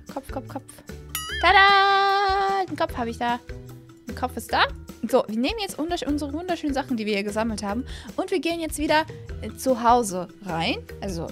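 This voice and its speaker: A woman's voice: high-pitched, a bit bright. A young woman in her twenties chatting casually and gushing as she comments on a game, thinking aloud, close to a studio mic. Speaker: gaming video host. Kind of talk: casual let's-play commentary. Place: small room at home, indoors. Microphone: close studio microphone on boom arm, headset worn. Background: faint background music.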